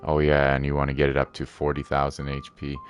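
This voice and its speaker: A man's voice: rumbling low, completely flat delivery, fast, soft background music. A man giving laid-back gaming commentary in casual, muttered asides. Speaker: gamer streaming a playthrough.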